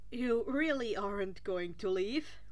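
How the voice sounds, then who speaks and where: A woman voicing a guarded, sullen, self-doubting teenage boy in an audiobook story, blunt and halting. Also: mid-range voice, lively intonation. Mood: doubtful. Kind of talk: expressive audiobook character reading.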